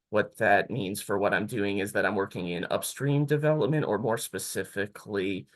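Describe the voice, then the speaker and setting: A man on a career panel, explaining his technical work in a measured way. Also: lowish voice, vocal fry, a bit bright. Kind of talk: panel talk.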